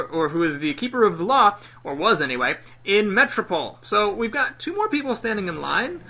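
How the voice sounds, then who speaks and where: Bright-voiced man hosting a game play-through. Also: high-pitched. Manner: presenter-like, explanatory recapping. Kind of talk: storytelling game commentary.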